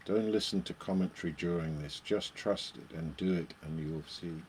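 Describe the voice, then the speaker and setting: A man leading a guided meditation, speaking in short phrases with long pauses between them. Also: deep voice, a little mellow, slight background noise.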